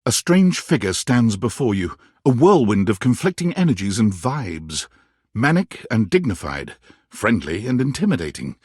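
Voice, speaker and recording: A man narrating a dating video game as a dramatic storyteller, reading scripted prose with a husky voice. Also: fairly low pitch, very animated, normal speed, a little mellow, no room sound, studio quality. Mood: wry intrigue.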